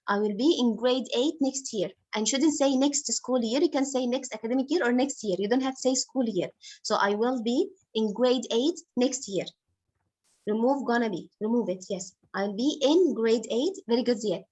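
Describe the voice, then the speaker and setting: A woman's voice: on the high side, husky. A woman teaching English on a video call, patiently correcting a student's writing and calling him honey. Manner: patient, explains slowly, repeats corrections, calls student honey. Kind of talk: online class correcting a student.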